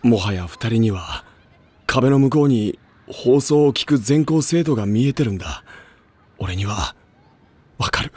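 A gentle, hesitant teen boy. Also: medium pitch, lively intonation, a little slow, long pauses, breathy edge, dry recording. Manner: soft-spoken, hesitant. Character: gentle, timid tall teenage boy. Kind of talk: anime drama CD acting.

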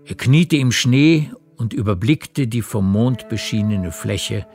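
A man narrates a literary radio drama with measured storytelling. His voice is low with a gravelly creak, and soft music sits faintly behind it. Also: lively intonation.

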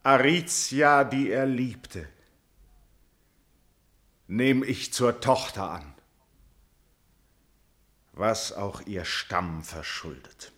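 A man's voice: mid-range voice, expressive, drawn-out words, long pauses. An actor playing a remorseful, grief-stricken king and father in a classical German radio tragedy, declaiming solemn verse. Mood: mournful resolve. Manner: solemn, declamatory, swelling from hushed to loud.

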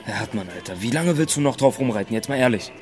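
A man acting a cagey young street informant in a radio drama. His mid-pitched voice is slangy and mocking, cocky yet evasive, with music clearly behind it. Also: animated, quick pace, darkish tone, close to an everyday voice. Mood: evasive, annoyed.

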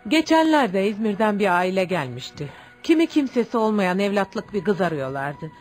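Woman acting motherly older village wife, medium pitch. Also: sing-song, moderate pace, faint music behind, close to an everyday voice. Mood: concerned. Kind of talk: radio theatre acting.

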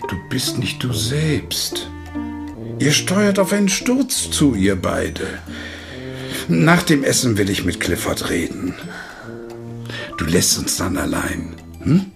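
An actor in a German radio drama playing a stout, blunt old father who urges deliberately, with pointed pauses. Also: medium pitch, sing-song, vocal fry, over music, exaggerated voice. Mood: grave. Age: older.

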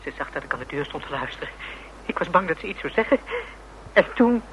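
An actor playing an anguished, cornered younger brother in a radio play, agitated, defensive and halting. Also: male voice, a little high, big pitch swings, a bit bright, lo-fi sound. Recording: noisy old radio drama recording.

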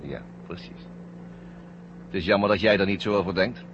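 A man's voice: deep voice, steady tone, normal speed, close to an everyday voice. A man acting a brusque, hypnotized astronaut in a science-fiction radio drama, clipped and commanding, obedient to his orders. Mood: cold, obedient. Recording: muffled old radio recording, loud cabin hum.

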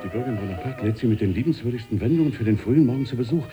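A bewildered politician played by a man in a radio play. His pitch swings widely as he speaks in a plaintive, theatrical way, slow to grasp things and easily alarmed. Music plays behind him. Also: animated, natural voice. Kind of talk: German radio play acting.

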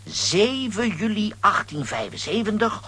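A man's voice: sits high, huge pitch swings, a little slow, pushed and bright, husky, heavily acted. An actor playing a vain, blustering, easily flustered man in a children's audio play, stammering and pompous. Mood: excited, pompous.

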